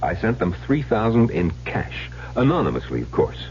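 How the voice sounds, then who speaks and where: An actor playing an educated middle-aged husband, speaking in a measured, confessional, theatrical way in an old-time radio drama. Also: male voice, lowish voice, huge pitch swings, darkish tone. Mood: grave, reminiscing. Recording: dull, noisy old radio recording.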